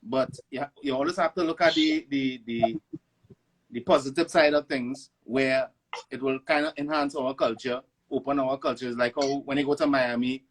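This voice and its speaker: A man from Venezuela raised in Trinidad, with a Trinidadian accent, talking as a guest in a casual interview, halting with restarts. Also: medium pitch, fairly even intonation, rough recording. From born in Venezuela, raised in Trinidad. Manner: halting, many restarts and "you know". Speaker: guest artist. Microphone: online live stream.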